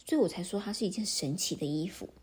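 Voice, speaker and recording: A woman voicing a sly, cheerful tall tailor who flatters and wheedles, in a children's story. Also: fairly low pitch, quick pace, husky. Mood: wheedling.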